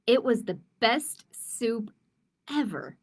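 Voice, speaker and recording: A woman's voice: on the high side, lively intonation, slow. A woman hosting a storytime for young children, reading picture books aloud expressively with informal asides to the viewers. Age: adult. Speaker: children's library storytime host. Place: live-streamed online storytime.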